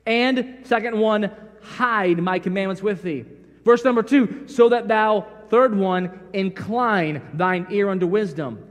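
A middle-aged man preaching a sermon in a large church sanctuary, folksy and animated, acting out bits of dialogue as he tells stories. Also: sits high, measured pace. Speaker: pastor. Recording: long reverb of church hall.

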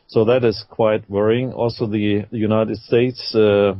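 A man from Switzerland with a Swiss German accent, a guest in a casual online radio roundtable, speaking haltingly with frequent laughs. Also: on the low side, little pitch movement. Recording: dull online call audio.

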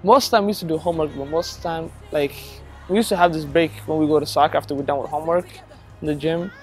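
A young man from Ethiopia talks about his high school years, filling his sentences with "like". His voice sits a bit high, he is recorded outdoors, and soft music plays underneath. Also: quick pace. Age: young adult. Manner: relaxed, with fillers like "like". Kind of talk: casual testimonial. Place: outdoors by a brick building.

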